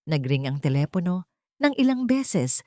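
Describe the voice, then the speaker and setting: Woman narrating a dramatic story, lowish voice. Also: big pitch swings, normal speed, darkish tone, dry recording, studio quality. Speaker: audiobook narrator.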